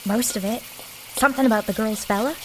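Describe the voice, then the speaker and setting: Woman playing cheeky, folksy maid, higher-pitched. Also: fast, a bit bright, a little hiss or hum, natural voice, rough recording. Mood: gossipy, teasing. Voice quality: folksy rural American diction. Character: nosy, cheeky working-class maid, folksy and irreverent. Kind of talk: audio drama acting.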